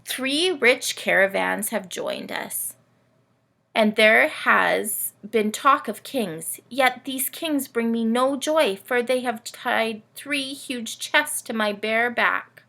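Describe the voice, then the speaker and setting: A woman plays a vain, melodramatic storybook camel who feels very sorry for himself. The voice is bright but heavily creaky, and every phrase is drawn out slowly and theatrically. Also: fairly high pitch, pitched above the performer's own voice, heavily acted. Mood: aggrieved, complaining. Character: self-pitying, melodramatic, vain male camel of the Nativity.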